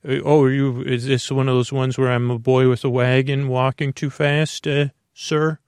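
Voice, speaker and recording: Man playing officious, creaky gym teacher type. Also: medium pitch, level tone, moderate pace, a little mellow, no room sound, clean studio sound, natural voice. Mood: suspicious, officious. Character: pompous questioning authority man, like a gym teacher.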